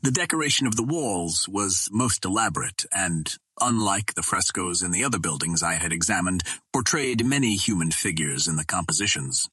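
A man reading an adventure novel aloud as scripted narration, in a measured storytelling manner. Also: low-pitched, expressive, bright, forceful tone, husky, no echo. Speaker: audiobook narrator.

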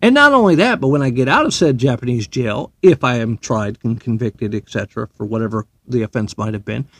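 A man's voice: medium pitch, expressive, a little fast, fairly bright tone, no room sound. A man, a military veteran, delivering a profane, sarcastic and heated opinion monologue.

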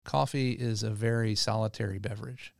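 A middle-aged man from Louisiana talks as a podcast guest, easy and rambling, full of fillers and restarts. His voice sits low and has a gravelly rasp. The sound is dry and close. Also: a little fast, no room sound. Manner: relaxed storytelling, many fillers and restarts. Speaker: tea company co-founder guest. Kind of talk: podcast interview.

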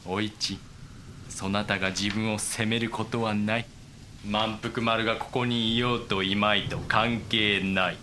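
A man playing a cold, broken Japanese warlord in a period voice drama, speaking archaic lordly lines deliberately with dramatic pauses. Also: lowish voice, steady tone, pushed and bright, slight background noise. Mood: coldly ruthless. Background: dramatic music.

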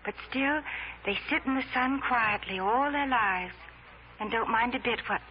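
High-voiced anxious young woman, played by an actress, old radio. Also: normal speed, lightly acted. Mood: wistful. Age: young adult. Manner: hesitant, earnest, dramatic. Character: earnest, anxious, self-doubting young woman, a twin sister. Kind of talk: 1940s radio drama acting. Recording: noisy, muffled old 1940s radio recording.

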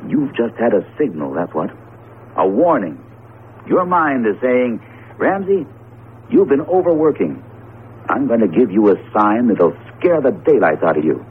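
An actor playing a breezy, self-assured psychology professor who talks colloquially in a radio drama. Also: male voice, mid-range voice, very animated, a little slow. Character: breezy, skeptical male psychology professor, hearty eater. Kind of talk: 1970s radio drama acting. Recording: muffled noisy old radio broadcast.

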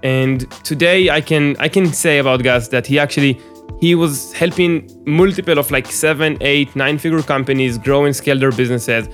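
Male podcast host, mid-pitched, casual, lots of ums and restarts. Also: moderate pace, faint music behind. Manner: casual, frequent fillers and restarts. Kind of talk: podcast interview.

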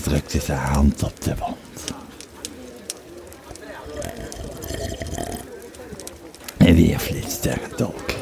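An actor playing an old, tipsy Roman legionary who retells his war days in a rambling, halting, dramatic way for an audio play. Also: rumbling low, exaggerated voice. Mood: tipsy storytelling. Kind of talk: in-character storytelling in an audio play.

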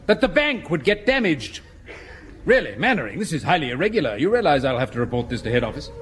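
An irritable inspector from head office in an old radio comedy. His high, animated voice is officious and indignant, with slight noise on the recording. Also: fast talker. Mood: disapproving. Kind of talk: radio sitcom acting.

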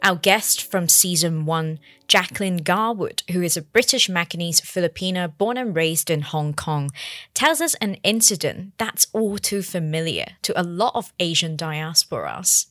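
Expressive woman host with lower voice. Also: moderate pace, dry recording, studio quality. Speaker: podcast host. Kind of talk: scripted narration.

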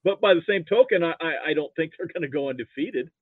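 An older American man chatting casually about sports as a podcast guest, halting and repeating himself, over a thin call connection. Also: on the high side, lively intonation, breathy edge, dry recording. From Nebraska, United States. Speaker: podcast guest, football fan. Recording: thin, narrow phone-like line.

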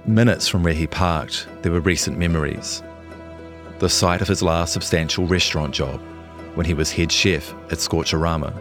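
Very deep-voiced New Zealand man, journalist.

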